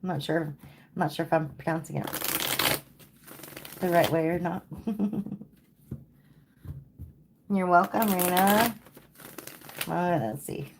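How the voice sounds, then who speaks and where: Low, slow-talking tired woman reader. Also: noisy background. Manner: casual, repeats "okay?" and "like". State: tired, losing her voice. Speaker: tarot reader. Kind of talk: live-stream tarot reading.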